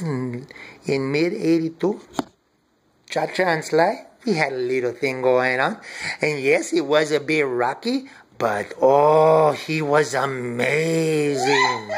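Man playing a slow-talking, flirty camp diva. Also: on the high side, slight background noise. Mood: coy, flirty. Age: middle-aged. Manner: camp, coy, gushing, drawn-out endearments. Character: Cha-Cha, flirtatious gushing diva woman. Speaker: character host of a countdown. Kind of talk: comic character monologue to camera. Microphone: webcam or device at arm's length.

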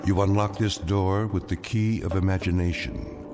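Deep guy narrating a spooky opening, slow and solemn, big music. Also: fairly even intonation. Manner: solemn, deliberate. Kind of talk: dramatic show opening.

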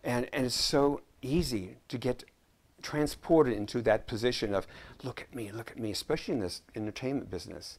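A man in his sixties, a scriptwriter chatting as a guest in a relaxed interview, mixing jokes with earnest stories. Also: mid-range voice, fairly quick. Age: 60s. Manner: joking, earnest storyteller. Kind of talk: casual interview chat. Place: living room set, indoors.

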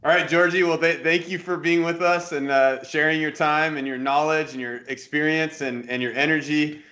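A man's voice: on the high side, little pitch movement, moderate pace, sharp tone. A man in his thirties hosting a podcast over a video call, enthusiastic and encouraging. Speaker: podcast host. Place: home room, indoors. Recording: dull lo-fi call audio.

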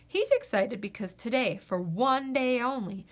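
A woman reading a children's picture book aloud in an expressive, playful way. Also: fairly high pitch, a little slow. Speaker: storybook reader.

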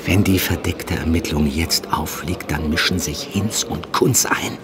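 An actor playing a police handler in a naturalistic audio drama, reassuring yet insistent, often dropping into hushed tones. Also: male voice, deep voice, unhurried. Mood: tense. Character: male police handler calming and pressuring his undercover agent. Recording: noisy outdoor ambience with vehicle sounds.

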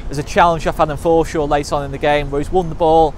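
A young man speaks bluntly and frankly to camera, reviewing a football match the way a frustrated fan would. His voice sits a little high for a man. He is recorded outdoors beside a stadium car park, with faint passing traffic behind him. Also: flat delivery, fast talker. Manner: blunt, frank, fan's frustrated verdicts with many fillers. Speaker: football fan channel presenter. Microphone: camera mic, chest-up framing. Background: faint passing cars.

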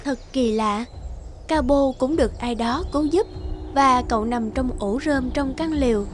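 A woman's voice: high-pitched, unhurried, slight background noise. A woman reading scripted fairy-tale narration for a cartoon.

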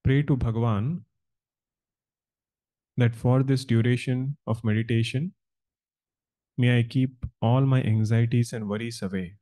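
A man with a dark, relaxed voice guides meditation as a spiritual teacher. He speaks very slowly and deliberately, in short, measured phrases. His delivery stays calm and instructional. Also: mid-range voice, poor audio.

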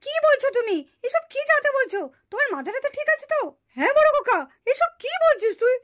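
A woman's voice: extremely high pitch, animated, quick pace, a bit bright. A woman voicing an emphatic, scolding village female in a puppet family drama. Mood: indignant protest. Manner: emphatic, scolding, repeats words in protest. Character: high-pitched village women and girls in a puppet family drama. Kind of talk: dramatized Bengali puppet-show dialogue.

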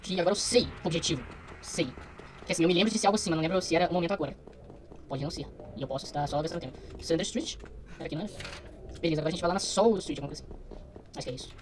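A man's voice: a little high, rapid-fire, pauses often, slight background noise. A young Brazilian man giving casual, slangy let's play commentary, muttering to himself as he goes. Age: young adult. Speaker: gaming streamer.

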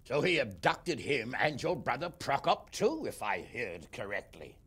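An actor playing a blunt, impatient lord who swears and jokes dryly, with a gravelly, husky voice. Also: male voice, mid-range voice, sing-song, unhurried, a bit bright, heavily acted. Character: blunt gruff male lord, impatient and sardonic.

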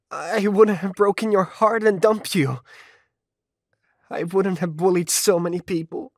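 A woman voicing a troubled, tender young male rock musician with a lisp and halting delivery in a comic dub. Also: low-pitched, darkish tone, no echo, clean studio sound. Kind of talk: amateur comic dub acting.

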